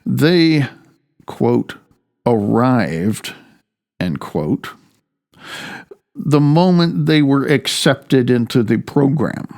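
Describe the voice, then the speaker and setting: A middle-aged man reading his own nonfiction audiobook in a deliberate, measured manner with drawn-out emphasis. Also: medium pitch, expressive, gravelly, darkish tone.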